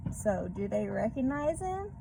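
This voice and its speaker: A woman reading a storybook aloud to children in a gentle manner, pausing to put questions to her young listeners. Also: on the high side, very animated, vocal fry. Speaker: read-aloud host for children. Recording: background noise.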